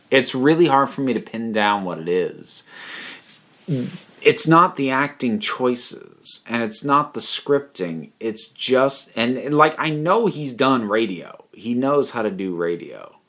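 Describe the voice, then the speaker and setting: Halting, thoughtful man reviewing casually. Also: medium pitch, animated, a bit bright. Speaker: online reviewer. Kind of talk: casual spoken review commentary.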